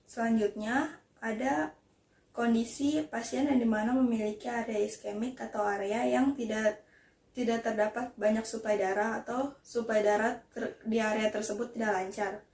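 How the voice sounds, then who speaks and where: A woman's voice: on the high side, fairly even intonation, normal speed, darkish tone. A young adult woman, a student presenter, explaining point by point in an academic presentation. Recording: roomy, echoing voice recording.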